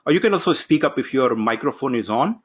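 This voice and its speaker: A man opening an online course from his home office, giving instructions briskly and calling on participants one by one. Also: medium pitch, expressive, husky, dull audio. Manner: brisk, instructive, takes roll call. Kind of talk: live online class introduction. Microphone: video call.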